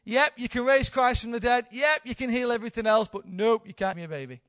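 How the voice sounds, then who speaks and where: A woman's voice: a little high, fairly quick, fairly bright tone, dry recording. A woman in her thirties, a church leader, giving an informal, candid sermon with self-deprecating humour. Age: 30s.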